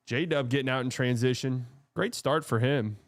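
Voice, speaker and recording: A man's voice: mid-range voice, normal speed. A man hosting a live watch-party stream, chatting casually and bluntly about the game and answering his chat.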